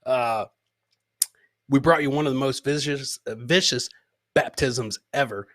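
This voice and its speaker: Bright-voiced man hosting a comedy podcast. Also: mid-range voice, unhurried. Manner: rambling, jokey, repeats his own phrases. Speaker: podcast host. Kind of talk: casual live comedy chat.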